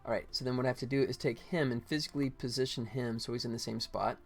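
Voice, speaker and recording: Man, mid-pitched voice, casual livestream tutorial chat, rambling, thinking aloud, music in the background. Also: steady tone, fast. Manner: rambling, thinking aloud, half-muttering to himself while working. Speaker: game developer streaming his work. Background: music behind the voice.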